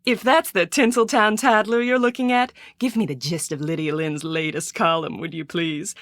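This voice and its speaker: A woman's voice: fairly low pitch, level tone, moderate pace, no room sound, clean studio sound, natural voice. An actress playing a vain, haughty rich woman in a video game, theatrical, condescending and imperious, with a villainous streak. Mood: imperious, languid. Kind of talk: video game character dialogue.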